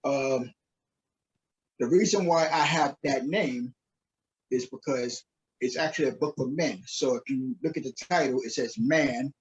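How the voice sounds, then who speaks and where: A man's voice: mid-range voice, unhurried, long pauses, husky. A man answering casually as a guest in a remote interview, speaking as a minister, author and coach. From Queens, New York, USA. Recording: slightly dull, lo-fi call audio.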